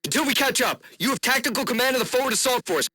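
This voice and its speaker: Quick, firm military commander. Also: male voice, very high-pitched, lively intonation, pushed and bright, husky, dry recording, exaggerated voice. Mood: firm, urgent. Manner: clipped radio orders. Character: male military commander, firm, clipped, loyal. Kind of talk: video game voice acting.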